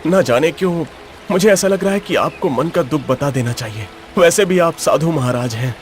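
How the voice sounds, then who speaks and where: A man's voice: fairly high pitch, animated, moderate pace, soft background music, lightly acted. A man voicing an earnest, sorrowful young prince in a cartoon story, speaking formally and with feeling. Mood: resolute. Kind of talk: dramatized cartoon story dialogue.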